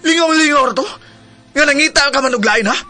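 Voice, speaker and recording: A man's voice: very high voice, fast, over music. An actor playing a hurt, jealous live-in partner in a radio drama, reproachful and emphatic with his questions. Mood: angry, wounded. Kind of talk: radio drama acting in Cebuano.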